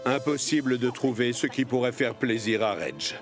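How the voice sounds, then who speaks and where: A man narrating a children's cartoon in French dub, with an expressive storytelling manner. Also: mid-range voice, moderate pace, music in the background.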